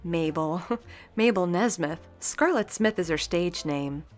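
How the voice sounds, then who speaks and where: A woman's voice: fairly low pitch, lively intonation, takes it slowly, faint music behind. An actress playing a chatty, worldly woman gossiping at a Hollywood party in an old-time radio drama. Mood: gossipy. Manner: breezy, gossipy, knowing.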